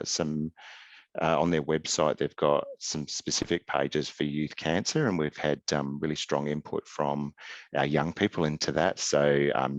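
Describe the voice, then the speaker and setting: A middle-aged man giving a webinar slide presentation over a video call, halting, with many ums and restarts. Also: a bass voice, dry recording, dull audio. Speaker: health service presenter. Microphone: video call webcam.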